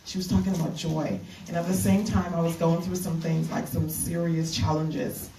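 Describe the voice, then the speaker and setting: A middle-aged woman from the Bahamas, giving an earnest retreat keynote as a minister, halting with fillers and restarts. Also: lowish voice, little pitch movement, background noise. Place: conference room. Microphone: handheld mic. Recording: echoey room from a distance.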